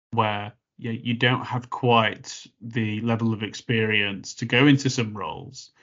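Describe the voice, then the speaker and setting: A man with a fairly low voice hosts a podcast conversation, circling his points with repeated words and fillers. The sound is muffled and lo-fi. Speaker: podcast host.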